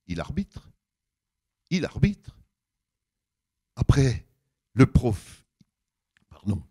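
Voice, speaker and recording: Older guy w big low mellow voice telling stories to an audience, very up and down, chatty slangy. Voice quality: big deep voice. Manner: animated storytelling, colloquial, emphatic, acts out reported dialogue. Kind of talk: anecdotal public talk to an audience.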